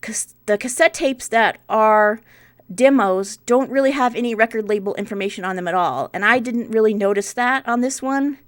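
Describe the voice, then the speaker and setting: A woman's voice: on the high side, normal speed. A woman in her forties giving casual, unscripted explanatory narration for a vlog, thinking aloud as she goes. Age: 40s. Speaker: part-time online reseller, video host.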